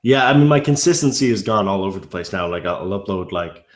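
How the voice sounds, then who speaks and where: A man's voice: low-pitched, lively intonation, fast, echoey room. A man from Ireland with a mild Irish accent, chatting casually as an interview guest, rambling with many restarts. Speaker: YouTube narrator guest.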